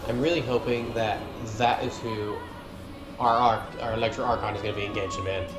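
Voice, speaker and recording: A man's voice: on the low side, steady tone, fairly quick. A man giving casual, enthusiastic fan commentary over game cutscenes in an informal way. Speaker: gaming video creator. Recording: roomy echo, music playing behind. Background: game cutscene music.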